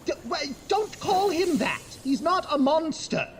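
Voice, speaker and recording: A man's voice: very high-pitched, big pitch swings, unhurried, soft background music, exaggerated voice. A man playing a young, eccentric mad doctor in a comedic horror audio drama, imperious and easily exasperated, stammering and bursting out theatrically. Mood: petulant.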